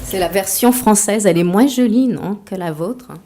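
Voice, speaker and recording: A woman's voice: medium pitch, moderate pace, background noise. A woman author giving a talk to an audience, mixing a prepared lecture with playful, self-mocking asides.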